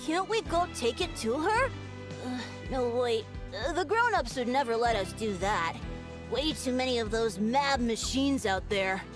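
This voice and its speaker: A peppy, eager young girl in a video game. Her high voice bounces around with lively energy. Game music plays clearly behind. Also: measured pace. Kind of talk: game voice acting. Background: game score music.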